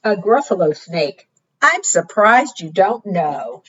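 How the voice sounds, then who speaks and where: A woman's voice: mid-range voice, big pitch swings, slow, a bit bright, highs cut off, a little hiss or hum. A woman voicing a small, clever and cheeky brown mouse from a picture book, in an emphatic storybook manner.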